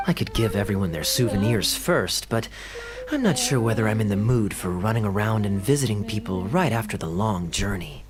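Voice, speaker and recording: An actor playing a suave young male knight who talks in a smooth, playful, gently mocking way. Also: lowish voice, expressive, fairly quick, lightly acted. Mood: relaxed. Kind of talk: game voice acting. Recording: game audio with music behind.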